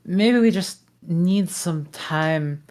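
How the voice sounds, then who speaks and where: A gruff, grumbling ogre, read by a woman, speaking in an unhurried, lowish voice. The manner is exasperated and grudgingly decent. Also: a little mellow. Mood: earnest. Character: gruff male ogre, grumpy but decent. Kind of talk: amateur table read.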